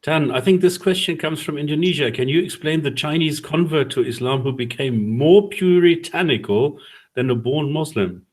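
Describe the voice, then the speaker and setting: Man, panelist, reads viewer questions, direct, slightly high voice. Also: normal speed, a little mellow. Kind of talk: casual panel discussion.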